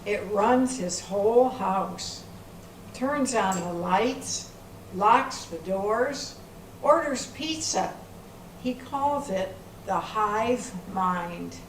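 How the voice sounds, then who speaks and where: A confident know-it-all grandmother, acted by a woman on stage, gives slow instructions at a middle pitch. The recording is distant, with light background noise. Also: animated. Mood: bossy, assured. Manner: instructive, assured. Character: confident, bossy grandparent, self-styled tech expert. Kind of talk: amateur readers theater. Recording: echoey room from far off, faint noise.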